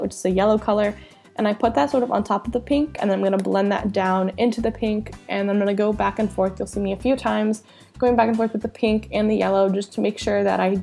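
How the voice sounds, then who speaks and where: A woman's voice: medium pitch, steady tone, fairly quick, creaky, dark tone, faint music behind. A young woman with a nasal voice from a cold, chatting upbeat through a makeup tutorial as a beauty vlogger.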